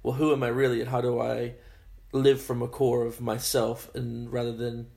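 Male interviewer, gravelly, flat monotone delivery, gentle, reflective. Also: medium pitch, normal speed. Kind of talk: conversational interview.

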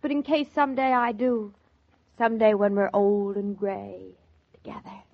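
An actress playing a tender-hearted young American newlywed wife in a 1940s radio drama. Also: female voice, medium pitch, level tone, normal speed, close to an everyday voice. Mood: wistful, tender. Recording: muffled 1940s radio transcription.

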